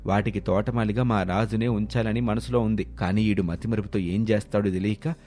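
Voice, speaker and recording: A man's voice: lowish voice, fairly even intonation, a little fast, darkish tone, a little hiss or hum, lightly acted. A man playing a stern but fond, worried middle-aged village father in a dramatised moral story, chiding and instructing.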